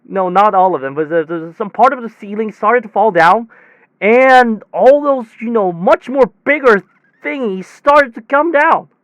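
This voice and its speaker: A man playing a weary, exasperated technician who tells his bizarre tale in a rambling, halting, conversational audio drama monologue. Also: high voice, no room sound, rough recording. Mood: agitated, incredulous. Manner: rambling, halting, animated storytelling with sighs. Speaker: voice actor in a self-written audio drama.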